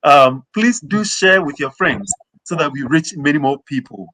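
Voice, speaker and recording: A man hosting an online interview, speaking earnestly with frequent fillers and pauses. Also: fairly high pitch, expressive, bright, forceful tone, low-quality recording. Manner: earnest, many fillers like "you know" and "um". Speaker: host and interviewer.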